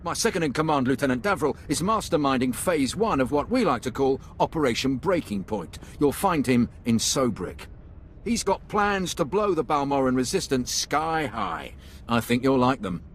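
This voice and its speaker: A man acts out a smooth-talking military officer from a sci-fi game. His mid-pitched voice moves in a lively way as he briefs persuasively and with confidence. Some noise sits behind him. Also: normal speed, lightly acted. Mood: respectful, assured. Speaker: video game voice actor. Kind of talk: scripted game cutscene dialogue.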